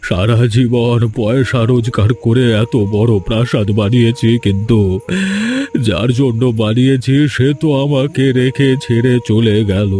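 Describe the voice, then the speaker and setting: A man's voice: mid-range voice, steady tone, measured pace, warm tone, lightly acted. Cartoon role: old landlord father male actor, proud, brooding, vengeful, commanding, light music bed. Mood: sorrowful. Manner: brooding, imperious. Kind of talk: cartoon voice acting.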